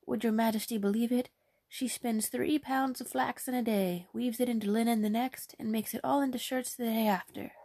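A woman's voice: fairly high pitch, steady tone, moderate pace, warm tone, pitched above the performer's own voice. A woman voicing a country mother who speaks with raised, eager emphasis. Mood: boastful. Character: country mother, fussing and boastful about her daughter.

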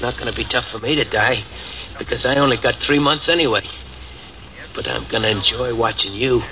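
A man's voice: mid-range voice, expressive, fairly quick, pushed and bright. An actor playing a cold, vengeful ex-convict who is dying and taunts his trapped partner. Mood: menacing. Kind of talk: 1940s radio acting. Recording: dull old radio recording, faint hiss.

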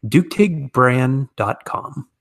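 A man's voice: mid-range voice, animated, takes it slowly, creaky. A man with an American accent, speaking as a podcast host and interviewer. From United States. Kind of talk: podcast introduction and conversational interview.

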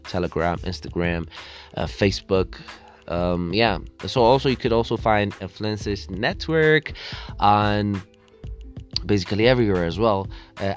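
A young man from Ethiopia hosting his own podcast, talking casually and rambling with many fillers and restarts. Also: deep voice. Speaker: podcast host. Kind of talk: solo casual podcast monologue.

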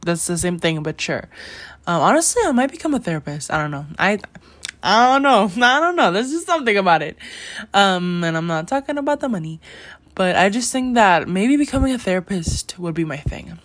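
A woman's voice: mid-range voice, very animated, fairly quick. A teenage girl hosting her own podcast in a casual, rambling chat, full of "like" and "you know" and frequent giggles. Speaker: podcast host.

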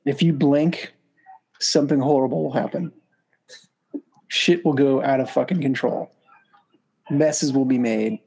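A podcast co-host with a dark, mellow tone, joking through rambling riffs on a film. The talk is loose and casual, with offhand swearing. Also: medium pitch, steady tone, unhurried, creaky, pauses often, slightly rough, low-quality recording. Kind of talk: casual movie-watching commentary.

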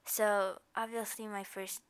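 A woman's voice: mid-range voice, level tone, a little slow, clean tone. A woman whose first language is Japanese, chatting casually in English as a podcast guest, laughing often and using loose fillers. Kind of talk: casual chat.

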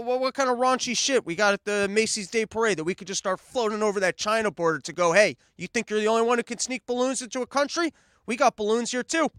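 A man's voice: sits high, a bit bright, smooth, no room sound, clean studio sound. A man hosting a solo comedic podcast live, brash and profane, rambling through riffs. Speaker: podcast host. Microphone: studio mic on a live stream.

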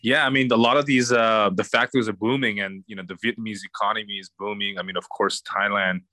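Bright, dry-sounding male podcast host. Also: on the low side, steady tone, fast, no room sound. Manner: laid-back, slang-heavy. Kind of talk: casual podcast interview.

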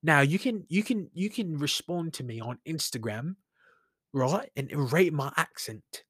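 A man's voice: a little high, lively intonation, measured pace, fairly bright tone, husky, dry recording, clean studio sound. Rambling solo podcast host, man. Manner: rambling, conversational, thinks aloud. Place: alone in his room. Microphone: studio mic with headphones.

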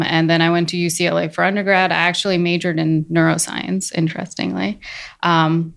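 A woman's voice: on the low side, creaky, a bit bright. A woman in her thirties, a neurologist guest, explaining her training in a friendly, chatty way with easy laughs. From India. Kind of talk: casual podcast conversation.

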